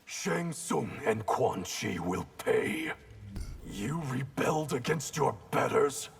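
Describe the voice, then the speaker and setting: Gravelly, stern ninja warrior. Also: male voice, mid-range voice, sing-song, slow, faint music behind, full character voice. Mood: stern, scornful. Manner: stern, clipped, disapproving. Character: stern, proud ninja warrior, cold and unyielding. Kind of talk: video game character dialogue.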